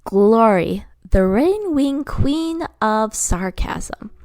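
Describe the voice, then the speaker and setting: A woman's voice: a little high, very animated, very slow, darkish tone, no room sound, studio quality. A woman giving a casual, rambling voice-over commentary as a fan narrator, stumbling over names. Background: background music.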